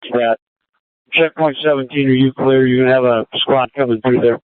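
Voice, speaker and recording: A man's voice: mid-range voice, fairly even intonation, normal speed, background noise. Man on muffled police radio. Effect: police two-way radio. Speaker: police command radio operator. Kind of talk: terse operational radio traffic.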